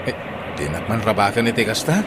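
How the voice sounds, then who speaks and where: A man's voice: lowish voice, very animated, brisk. A man with a Filipino accent playing a put-upon husband and jeepney driver in a radio drama, with hesitant, mumbling delivery. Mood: sheepish. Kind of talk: Ilocano radio drama acting. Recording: clear background noise, vehicle sounds.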